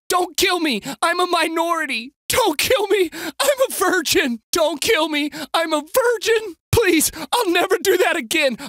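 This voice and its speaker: A man voicing an excitable, snarky everyman bystander in a video game, with a whiny, exaggerated delivery. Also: very high voice, no echo, full character voice. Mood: terrified pleading.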